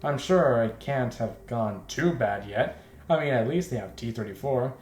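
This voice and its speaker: A man's voice: mid-range voice, lively intonation, moderate pace, darkish tone, slight background noise. A man with a mid-range voice plays through a visual novel and comments as he goes. He is jokey, rambling and a little self-conscious. The room sounds echoey. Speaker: let's play host. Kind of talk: joking commentary and read-aloud of a visual novel. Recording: echoey, roomy home recording.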